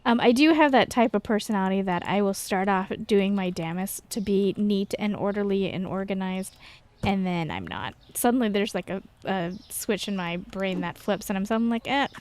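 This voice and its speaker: American woman, mid-pitched voice, casual gaming commentary, rambling, self-deprecating, chatty. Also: moderate pace, darkish tone. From Texas, United States. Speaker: gaming video host.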